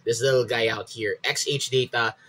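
Halting Filipino man, casual vlogger. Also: medium pitch, steady tone, pushed and bright. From Philippines. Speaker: podcast host and radio hobbyist reviewer. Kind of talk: casual explanatory vlog, code-switching. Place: small room at home.

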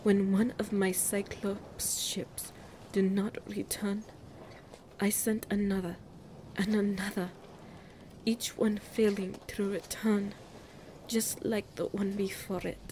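A woman's voice: medium pitch, little pitch movement, takes it slowly, warm tone, slight background noise, natural voice, rough recording. A woman playing a regal, commanding empress of monsters in a fantasy audio roleplay, speaking in a stately, formal manner. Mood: stately, grave.

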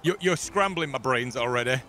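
A young man playing a co-op game, excitable and joking with mock outrage. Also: mid-range voice, fairly quick, slight background noise. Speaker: co-op gaming YouTuber guest. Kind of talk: casual co-op gameplay chat.

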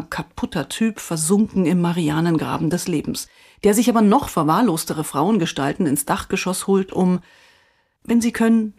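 A woman podcast host giving scripted, dramatic obituary narration with wry, theatrical phrasing and emphatic pauses. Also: on the low side, a little mellow, slightly rough.

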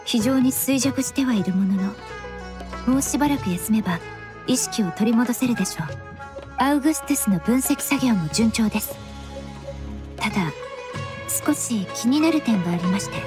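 A woman playing a composed, polite young perfumer in a Japanese game dub, formal, analytical and measured in manner. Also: fairly high pitch, animated, close to an everyday voice. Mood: clinical, measured. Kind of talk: Japanese anime game dubbing. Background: background music.

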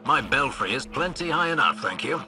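A man's voice: mid-range voice, sing-song, moderate pace, bright, forceful tone, full character voice. A genteel British game character, an older scout who stays unflappable. He talks with courteous, dry wit in a middling male register, over prominent music. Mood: dry, measured. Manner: polite, dry understatement. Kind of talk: video game voice acting.

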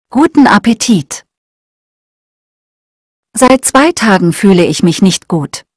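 A woman reading short learner texts aloud in a slow, clear, scripted way. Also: mid-range voice, darkish tone, dry recording, clean studio sound. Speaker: German lesson reader.

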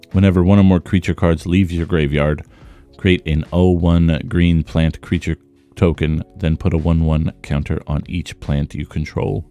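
A man with a slightly rough voice, worn from talking, giving casual commentary as he opens trading cards. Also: low-pitched, dark tone. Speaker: trading card content creator. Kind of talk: casual card pack opening commentary.

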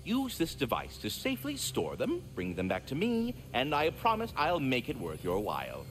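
Genteel squirrel, wildly expressive voice. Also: male voice, medium pitch, moderate pace, over music. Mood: earnest. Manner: verbose, formal, flowery diction. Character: courteous, genteel giant immortal male squirrel, fatherly. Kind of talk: theatrical video game acting.

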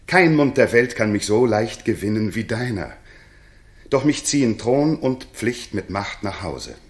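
Courtly king, lively roomy delivery. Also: on the low side, measured pace. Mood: earnest, firm. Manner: formal, eloquent, courtly. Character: courteous visiting king of Bohemia, warm old friend. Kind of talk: 1960s German radio drama, verse declamation. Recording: roomy 1960s radio studio.

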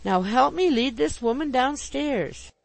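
A narrator voicing an amiable, genteel older gentleman from a storybook, easily flustered and given to exclamations. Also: high-pitched, animated, a little slow, creaky, dry recording, voice pushed up, heavily acted. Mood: agonized, pettish.

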